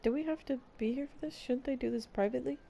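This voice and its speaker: A woman's voice: high-pitched, fast talker, creaky, mellow, slight background noise. A young woman game streamer giving casual, playful, reactive live commentary.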